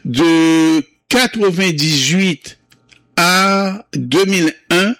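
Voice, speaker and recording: Man, Creole native, interview guest: unhurried, fairly high voice, halting with fillers and restarts, dry close sound. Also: a bit bright, no room sound. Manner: slow, hesitant, many fillers and restarts. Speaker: founder of a community Creole month. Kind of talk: interview recollection.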